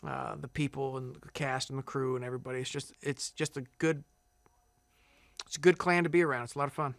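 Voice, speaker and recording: A man at middle pitch talks in loose, easygoing interview answers, often circling back and restarting his sentences. The sound is dry and close to the mic, with no room around it. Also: a little fast, vocal fry, dry recording. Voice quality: big loud singing voice. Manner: relaxed, rambling, many fillers and restarts. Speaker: singing contestant.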